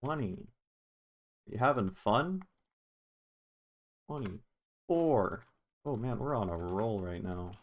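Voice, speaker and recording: A man hosting a casual software tutorial on music production, talking in an offhand, informal way and swearing casually. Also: fairly low pitch, expressive, slow, long pauses.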